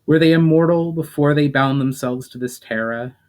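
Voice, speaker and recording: A man's voice: a little high, normal speed, darkish tone, pitched above the performer's own voice, natural voice. A man reading a story aloud, voicing an earnest adult male elf leader who keeps pressing with questions. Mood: earnest questioning.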